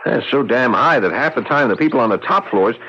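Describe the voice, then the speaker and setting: An actor playing an eager, smitten American doctor in a radio drama, with an American accent. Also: male voice, medium pitch, lively intonation, brisk, noisy background, natural voice. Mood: flirtatious, amused. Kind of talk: radio drama acting and narration. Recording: dull radio recording, faint music.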